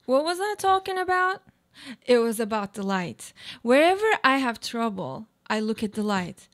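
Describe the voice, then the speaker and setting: A woman acting a guarded, high-voiced monologuist. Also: sing-song, moderate pace, fairly bright tone. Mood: self-consoling. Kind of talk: live radio play monologue.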